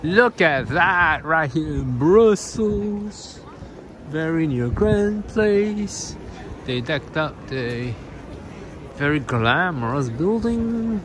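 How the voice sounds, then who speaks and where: A Singaporean man with a high voice films his walk through a city. He talks very slowly, in casual exclamations that he likes to repeat. Clear outdoor city noise surrounds him. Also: animated. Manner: exclamatory, repeats phrases, switches between English and Mandarin. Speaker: travel vlogger. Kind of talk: casual walk-along travel commentary. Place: busy city streets, squares and arcade at night. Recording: noisy outdoor city ambience.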